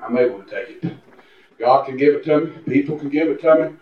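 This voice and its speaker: A man of forty preaching a sermon as pastor, often rising into shouted, high-pitched cries. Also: fairly quick. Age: 40s. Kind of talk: Pentecostal-style sermon. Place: church sanctuary. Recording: roomy, distant, dull church recording.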